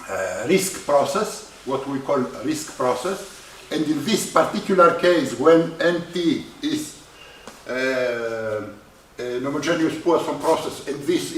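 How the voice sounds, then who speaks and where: A man with a Greek accent giving a university lecture on probability, halting often with "uh" in non-native English. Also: mid-range voice, a little hiss or hum. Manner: halting, many uh fillers, non-native phrasing. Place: lecture hall. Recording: echoey lecture hall from far off.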